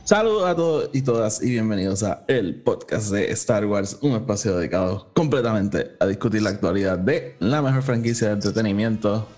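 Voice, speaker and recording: A man with a low voice and a Puerto Rican accent hosts a podcast over music. He talks informally, like chatting with a friend, and drops English words into his Spanish. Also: a little mellow. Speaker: podcast host. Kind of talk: casual podcast chat.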